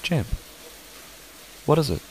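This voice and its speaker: A man playing an uneasy father who narrates his own horror story in a deliberate, measured way with dramatic pauses. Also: a little hiss or hum, lightly acted, low-quality recording. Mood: grave, uneasy. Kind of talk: scripted first-person horror narration.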